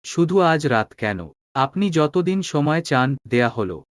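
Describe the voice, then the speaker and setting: A man voicing an ailing, overweight and wealthy vizier in an animated moral tale, with a formal, authoritative manner. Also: fairly high pitch, a little slow, no echo, clean studio sound. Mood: granting, assured. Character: ailing, obese, wealthy male vizier, commanding.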